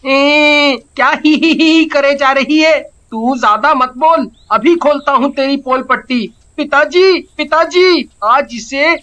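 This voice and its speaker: A woman voicing a whiny, teasing schoolchild in an animated story, drawing words out in a singsong. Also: sits high, slow pace, few pauses, pitched above the performer's own voice.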